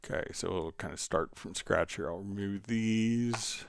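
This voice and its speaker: A man hosting a casual software tutorial, thinking aloud and hesitating as he demonstrates. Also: lowish voice, measured pace, gravelly. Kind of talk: casual screen-share software tutorial, live stream.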